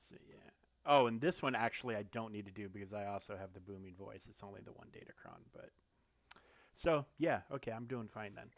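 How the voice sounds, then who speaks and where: A man streaming a mobile game, thinking aloud in a relaxed, muttering way as he clicks through menus. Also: fairly low pitch, brisk, gravelly, dry recording. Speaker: gaming streamer. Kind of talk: casual live-stream commentary while playing.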